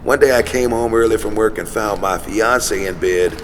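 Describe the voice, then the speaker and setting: A man's voice: lowish voice, normal speed, lightly acted. A man playing a paranoid, jaded homeless conspiracy theorist in an audio drama, speaking cryptically and with emphatic intensity. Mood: bitter, recounting. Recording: reverberant space, faint traffic noise.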